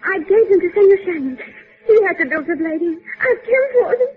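A woman's voice: very high-pitched, animated, brisk. An actress playing a frightened young Havana woman, pleading formally in a 1950s radio drama. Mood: terrified pleading. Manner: formal, uncontracted, pleading speech. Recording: tinny band-limited 1950s radio recording with music.

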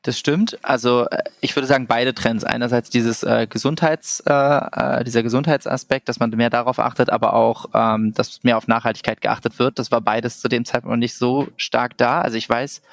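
A man's voice: mid-range voice, fairly even intonation, normal speed, creaky, hardly any pauses, dry recording. A man in his thirties from Germany, talking casually as a podcast guest, with many fillers and restarts. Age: 30s. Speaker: podcast guest, company founder. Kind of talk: casual interview talk.

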